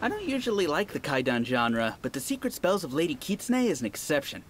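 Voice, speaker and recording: A man's voice: fairly high pitch, huge pitch swings, fairly quick, a little hiss or hum. A man playing a keen, chatty young reader in a video game, speaking eagerly.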